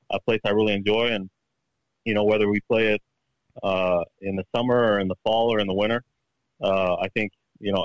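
A man's voice: fairly low pitch, no room sound, low-quality recording. A man in his thirties, a professional golfer giving a casual interview, who halts and repeats words as he searches for them. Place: at home.

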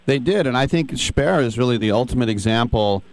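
A man's voice: medium pitch, lively intonation, fairly quick, dry recording. A man co-hosting an interview, explaining background in a conversational way. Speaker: radio co-host.

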